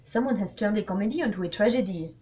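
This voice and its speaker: A woman voicing a shrewd, polite elderly male detective with a French accent and formal, deliberate phrasing, in a story reading. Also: mid-range voice, a little mellow, a little hiss or hum, pitched above the performer's own voice, natural voice. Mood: commanding, grave.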